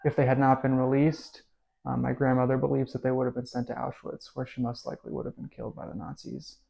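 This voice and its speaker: A man talking about his grandmother's past in a documentary interview, measured and explaining, with frequent ums. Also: mid-range voice, little pitch movement, creaky, warm tone, rough recording.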